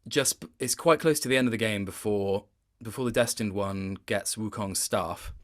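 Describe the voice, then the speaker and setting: A man with a fairly low-pitched voice speaks as a guest in a relaxed interview. He thinks aloud in a reflective, hesitant way, feeling his way through each thought. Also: moderate pace. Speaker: guest, game voice actor. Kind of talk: casual interview.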